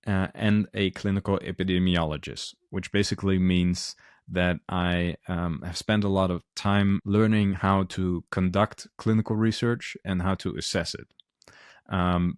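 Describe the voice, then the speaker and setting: A man with a Dutch accent speaks in a low, level voice, pausing often on ums and uhs as he explains things earnestly. The recording is dry and close, with no room sound. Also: dry recording. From Netherlands. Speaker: podcast host, physician. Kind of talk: solo podcast monologue.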